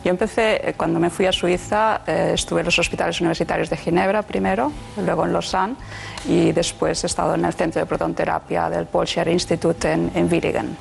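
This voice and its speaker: A middle-aged woman from Barcelona, a doctor answering interview questions in a halting, careful way. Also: fairly low pitch, fairly even intonation, gravelly. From Barcelona, Spain. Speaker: radiation oncologist, interview guest.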